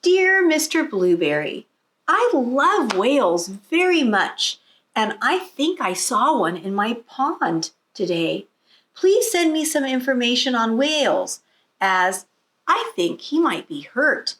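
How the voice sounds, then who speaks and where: Eager, earnest little girl for a storybook, played by a woman; high, very animated delivery. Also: slow, exaggerated voice. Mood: hopeful. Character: eager little girl writing about her whale. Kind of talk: storybook read-aloud.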